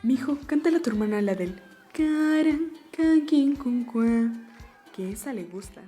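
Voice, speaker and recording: A woman plays a gentle mother in an audiobook drama. The voice is dark and soft, medium in pitch and slow, with a motherly fretfulness. Faint music sits behind it. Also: lively intonation. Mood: fretful. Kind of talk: fan-dubbed audiobook acting.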